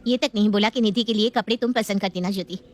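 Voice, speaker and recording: A woman's voice: medium pitch, fast, lightly acted. A woman playing a proud, easily miffed young married sister in a family story, chatty and emphatic. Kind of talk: scripted family story acting.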